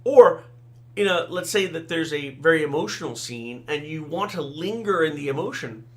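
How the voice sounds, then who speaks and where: A man's voice: on the high side, expressive, moderate pace. A middle-aged man, Tamil his first language, chatting through a podcast monologue about the writing craft. His voice sits a little above the usual male range. He keeps it conversational, tossing in "right?" and "you know" as he goes. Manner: conversational, many fillers and "right?" asides. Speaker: podcast host, author and game designer. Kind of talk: casual solo talk on writing craft.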